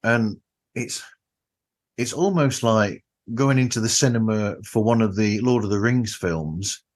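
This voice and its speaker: A man's voice: on the low side, measured pace, a little mellow. A man hosting a podcast, chatting casually with his guest in an interview.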